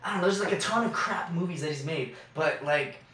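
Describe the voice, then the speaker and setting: A man's voice: on the high side, a little fast, a bit bright. A young man in casual podcast chat, giving blunt opinions as a co-host. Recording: echoey room, distant mic, faint noise.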